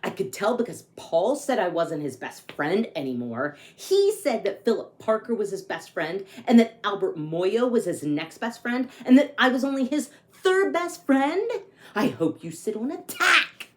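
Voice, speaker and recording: A woman's voice: mid-range voice, sing-song, measured pace. A woman voicing a grumpy, aggrieved young boy who narrates his bad day in a storybook, with emphatic complaining. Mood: spiteful.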